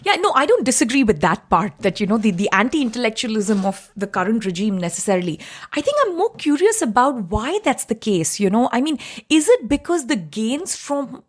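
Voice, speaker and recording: A woman with a somewhat high voice, hosting a podcast interview. She builds her questions step by step and keeps checking in with "right?" as she goes. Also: sing-song, fairly quick. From India, grew up in Delhi. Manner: inquisitive, frequent tag questions "right?". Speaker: podcast host. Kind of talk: interview conversation.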